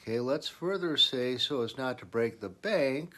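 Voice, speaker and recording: A man's voice: medium pitch, expressive, normal speed, sharp tone. A man presenting business advice in a semi-scripted, instructional explainer style, putting emphasis on key points.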